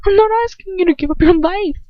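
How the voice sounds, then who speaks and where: Woman acting a pleading captive young woman, high-pitched. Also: quick pace, dry recording, highs cut off. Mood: tearful pleading. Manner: earnest, imploring.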